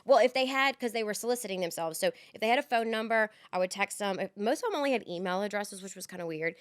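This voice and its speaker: A woman's voice: medium pitch, expressive, fast talker, dry recording, studio quality. Candid rambling woman podcast host. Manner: candid, rambling storyteller with laughs and fillers. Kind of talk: casual chat.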